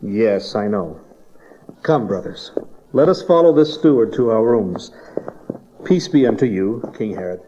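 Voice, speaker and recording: An actor playing a polite, dryly witty travelling wise man in a dramatized audio Bible, with a courteous, measured manner. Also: lowish voice, lively intonation, dark tone, a little hiss or hum. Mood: dry courtesy. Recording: dull cassette tape transfer.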